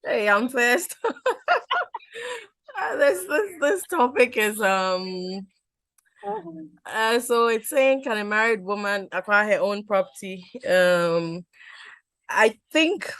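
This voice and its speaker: A woman's voice: mid-range voice, slow pace, pauses often, fairly bright tone, no echo. A woman panelist in a casual discussion, hesitant with fillers and restarts.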